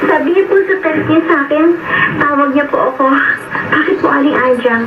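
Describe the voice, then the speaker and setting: In a distant, roomy and noisy radio recording, a woman plays a humble teenage schoolgirl with a high Filipino-accented voice. She is earnest and deferential, speaking with open feeling. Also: brisk, narrow, tinny audio, lightly acted. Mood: grateful, polite. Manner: polite, deferential, emotive. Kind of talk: Filipino radio drama acting.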